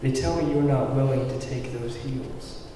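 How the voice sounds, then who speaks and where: A man's voice: mid-range voice, fairly even intonation, normal speed, lightly acted. A man playing an eager, submissive yet demanding businessman client in a table read. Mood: intense, reverent. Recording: very echoey hall, far mic, clear noise.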